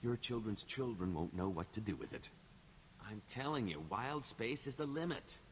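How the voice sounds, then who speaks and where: An actor playing a roguish, glib smuggler and con artist in a video game, with a wry, theatrical delivery. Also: male voice, medium pitch, lively intonation, moderate pace, a little hiss or hum, lightly acted. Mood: upbeat, boastful. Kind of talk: video game character dialogue.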